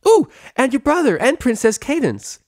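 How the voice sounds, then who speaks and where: Man doing a squealing, hyper-excitable girly cartoon pony. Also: extremely high pitch, normal speed, pitched above the performer's own voice, full character voice. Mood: excited. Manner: gushing, bursting with excitement. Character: giggly, hyper-excitable young female cartoon pony gushing.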